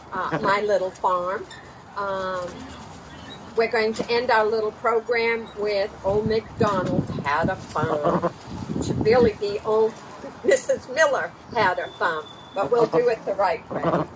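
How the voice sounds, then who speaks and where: A middle-aged woman hosting a storytime for young children, explaining things simply and haltingly, recorded outdoors by a camera a little way off. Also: medium pitch, lively intonation. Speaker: children's farm storytime host. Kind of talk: unscripted show-and-tell for young children. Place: outdoors in a backyard farm pen. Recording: noisy outdoor recording with clicks.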